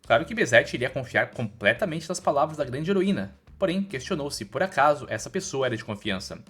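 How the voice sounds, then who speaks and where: A man's voice: mid-range voice, lively intonation, fast, a bit bright, breathy edge. A man with a Brazilian accent reads a scripted story recap in an informal, slangy storytelling way. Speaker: recap narrator. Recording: voice-over with background music. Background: music.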